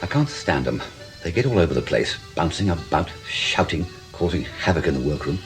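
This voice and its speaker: Radio play: older English writer-uncle, curmudgeonly yet mischievous, hugely animated delivery, over music. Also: male voice, sits low, a little fast. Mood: grumbling. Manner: blustering, exclaiming, theatrical grumbling that softens into teasing. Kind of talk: radio drama acting.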